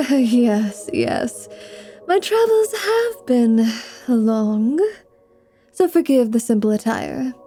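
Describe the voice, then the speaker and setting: A woman's voice: a little high, expressive, extremely slow, dark tone. A woman playing a sly, flirty, irreverent vampire who poses as a pious paladin, teasing and sarcastic, in an ASMR roleplay drama. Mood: feigned piety. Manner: teasing, sarcastic, feigned piety.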